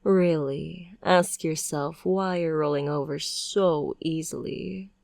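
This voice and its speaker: A sweetly possessive witch, played by a woman, coaxes and condescends with pet names in an audio roleplay. Her voice sits low and carries a gravelly fry, and she talks very slowly. The sound is dry and close. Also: steady tone, a little mellow, no room sound, full character voice. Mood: teasing. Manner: coaxing, condescending endearments.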